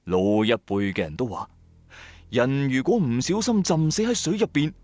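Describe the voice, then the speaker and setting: A man's voice: mid-range voice, lively intonation, normal speed, a little mellow, dry recording. A man with a Cantonese accent narrates a first-person ghost story in a colloquial, dramatic, suspenseful way. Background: music.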